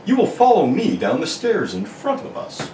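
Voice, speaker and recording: A high-pitched, ceremonious butler of a secret house, as a man acts him out, giving orders in courteous radio-serial fashion. His tone leaps up and down wildly, and he sounds distant in an echoey room. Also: huge pitch swings. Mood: courteous, formal. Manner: formal, deliberate servant-like speech. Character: polite, formal male butler guarding a secret house. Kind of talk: amateur read of a 1940 radio serial. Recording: echoey room, distant mic, faint noise.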